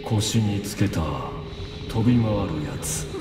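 A man voicing a giant ape-like titan in an anime game, casual, curious and detached, with quiet menace in a cavernous effect. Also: slow pace. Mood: calm curiosity. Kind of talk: anime game cutscene acting. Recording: echoey, distant, loud music and rumble.

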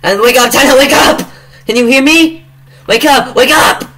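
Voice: high voice, moderate pace, sharp tone, slight background noise, pitched above the performer's own voice. An amateur voice actor playing a frightened young cartoon animal, pleading urgently. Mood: desperate.

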